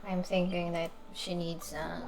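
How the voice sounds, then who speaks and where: A woman's voice: on the low side, level tone, a little slow, gravelly. A woman streaming a video game, musing casually and hesitantly as she reacts to the story. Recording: game audio and music behind.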